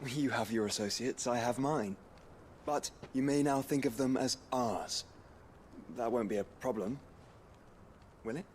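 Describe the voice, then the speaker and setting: An actor playing a courteous young archduke in a fantasy video game, speaking formally and with measured authority. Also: male voice, mid-range voice, close to an everyday voice. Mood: calm, commanding. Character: young noble archduke, composed, courteous, quietly commanding. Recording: faint noise behind.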